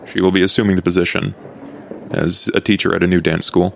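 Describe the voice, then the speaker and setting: A low, gravelly male vampire played by a man at a gaming table, old and courteous. He talks in measured, formal phrases with understatement, over faint background noise. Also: level tone. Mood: measured, explaining.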